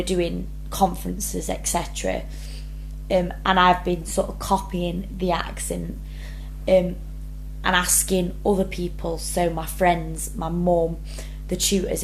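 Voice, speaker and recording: A woman's voice: fairly low pitch, a little mellow, a little hiss or hum, rough recording. A young woman drama student, talking earnestly through her rehearsal blog and reading each question aloud before answering. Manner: earnest, many ums, reads prompts then answers.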